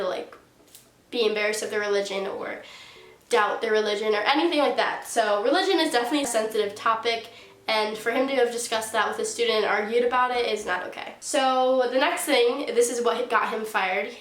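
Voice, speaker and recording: A late-teen girl with a slightly high voice tells a casual storytime to her viewers, sounding stuffed up from a sinus cold. She chats loosely and fills her sentences with "like." The room around her adds a noticeable echo. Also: a bit bright. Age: late teens. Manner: chatty, heavy use of "like". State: stuffy nose from a sinus infection. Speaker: YouTuber. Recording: roomy echo.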